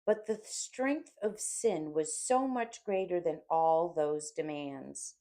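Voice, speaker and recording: A woman voicing proud, gloating Sin, slow and declamatory. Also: low-pitched, dark tone. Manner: declamatory, taunting. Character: proud, trumpeting personified Sin, gloating male villain. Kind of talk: dramatic audiobook character reading.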